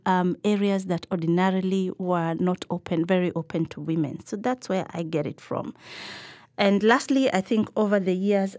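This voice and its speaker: A middle-aged woman from Kenya, a podcast guest answering in a thoughtful, measured way with some fillers. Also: medium pitch, a little mellow, no echo, studio quality. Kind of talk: reflective interview answers.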